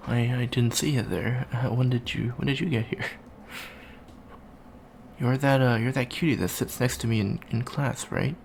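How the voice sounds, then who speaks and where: Gravelly, awkward young alien student voiced by a man. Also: medium pitch, fairly even intonation, normal speed, a little mellow, a little hiss or hum, lightly acted. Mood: flustered. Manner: hesitant, stammering, awkward small talk. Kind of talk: improvised ASMR roleplay, casual chat.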